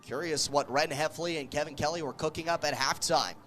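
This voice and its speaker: A man doing live play-by-play radio commentary of a football game, calling the action with excited energy. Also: a little high, a little fast. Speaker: play-by-play sports radio announcer.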